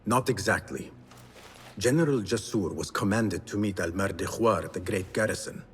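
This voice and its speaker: An actor playing a solemn, resolute assassin in a video game, controlled and earnest. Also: male voice, lowish voice, moderate pace, slightly rough. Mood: controlled, grave. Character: solemn, resolute male assassin of a secret order. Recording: loud music behind the voice.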